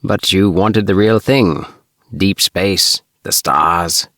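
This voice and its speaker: A man voicing an easygoing, chatty young alien spaceman in a storybook, with relaxed, hesitant phrasing. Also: sits low, slow, no echo, clean studio sound, voice pushed up, heavily acted. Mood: sympathetic. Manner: relaxed, with sighs and hesitant uhs.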